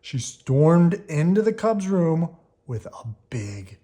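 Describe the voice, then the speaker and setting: Animated, expressive children's storybook reader. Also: medium pitch. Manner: animated, drawn-out emphasis on key words. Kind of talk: expressive children's read-aloud.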